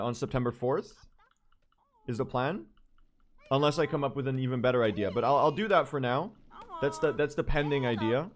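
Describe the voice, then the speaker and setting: Hoarse young man chatting to his stream. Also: medium pitch. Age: young adult. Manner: excitable, rambling, addresses chat directly. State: hoarse, worn-out voice. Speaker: speedrun streamer. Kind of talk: casual livestream chat to viewers.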